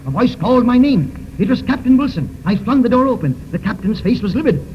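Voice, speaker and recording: A man's voice: extremely high pitch, big pitch swings, a little fast. An actor playing a tense, resolute detective who narrates his case theatrically in a 1930s radio mystery serial. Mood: urgent, excited. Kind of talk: 1930s radio drama first-person narration. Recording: muffled, noisy 1930s radio recording.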